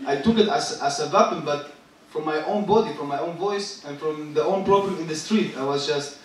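A young man in his twenties from Syria, a rapper talking on a theater stage, halting and searching for words. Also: on the high side, far from the mic. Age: 20s. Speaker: rapper and guest artist. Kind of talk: stage talk. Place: large theater stage with live audience. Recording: echoey stage, picked up from afar.

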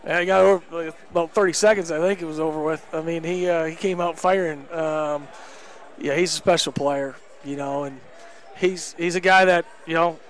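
A man's voice: fairly high pitch, steady tone, moderate pace. A man in his thirties, a head basketball coach, talking candidly after a game on a headset mic in a gym, with lots of fillers. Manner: candid, emphatic, many fillers. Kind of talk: post-game interview. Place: indoor gym court.

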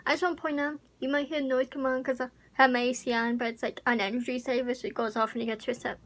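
A woman's voice: high voice, level tone, fast talker. A young woman vlogging in a casual chat, rambling and unsure, with lots of fillers, recorded close on a webcam in a small bedroom. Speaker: YouTuber. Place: small pink bedroom with air conditioner. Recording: home recording with audible AC hum.

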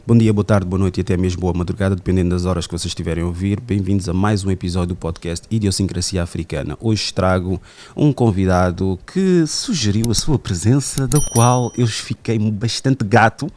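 A man's voice: low voice, darkish tone. A man hosting a podcast, teasing his guest and often breaking in. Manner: teasing, quick to interrupt. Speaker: podcast host. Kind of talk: casual podcast conversation.

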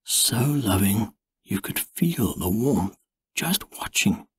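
A man reading a storybook as narrator, in an expressive and measured storytelling manner. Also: lowish voice, husky, no echo. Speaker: audiobook narrator. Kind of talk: scripted storybook narration, first-person tale.

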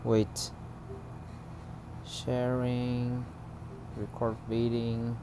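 Halting man talking at an unhurried pace. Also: medium pitch, monotone, background noise. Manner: halting, searching for words. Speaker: vlogger. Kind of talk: casual unboxing and first-use demonstration. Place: room at home.

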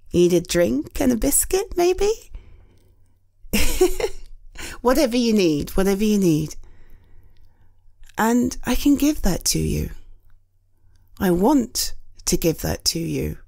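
A British woman playing a gentle, caring friend in a comfort audio roleplay, soothing and reassuring with hesitant pauses. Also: mid-range voice, vocal fry, no room sound, clean studio sound.

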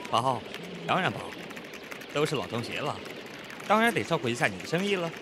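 Man acting cocky, animated young man, noisy backdrop. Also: medium pitch, a little fast, natural voice. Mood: teasing. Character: cocky, teasing young man, brash and needling. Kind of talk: audio drama acting.

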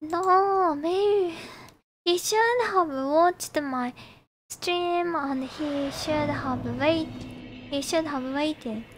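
A woman's voice: sits high, drawn-out words, slight background noise. A woman from Japan with a Japanese accent, chatting casually and politely with viewers as she livestreams a game. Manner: polite, thanks and greets viewers often. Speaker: game streamer. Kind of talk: casual livestream chat while gaming.

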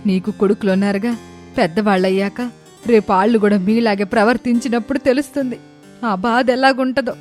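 A woman's voice: a little high, steady tone, fairly quick, a little mellow, soft background music, lightly acted. A woman voicing an elderly widowed mother, dignified and hurt, speaking with emotional, emphatic reproach. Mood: hurt, proud. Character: wounded, dignified elderly widowed mother rebuking her sons.

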